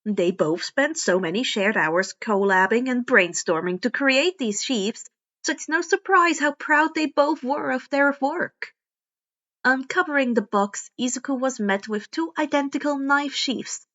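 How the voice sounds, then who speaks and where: High-pitched woman narrating a story. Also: measured pace, a bit bright, muffled recording. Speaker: podfic narrator.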